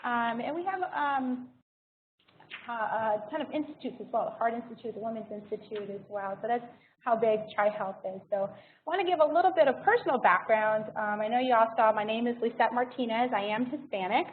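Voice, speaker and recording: An American woman speaks as a guest presenter in an informal talk. Her voice is fairly high. The sound is thin and band-limited like a phone line, with faint noise underneath. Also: brisk. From United States. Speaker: guest speaker, healthcare diversity director.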